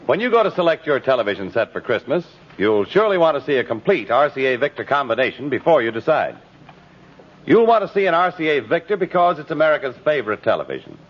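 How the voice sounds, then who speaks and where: A male announcer with a lively, varied pitch on a dull old radio recording. His delivery is polished and emphatic, in the salesman style of 1950s broadcasting. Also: quick pace.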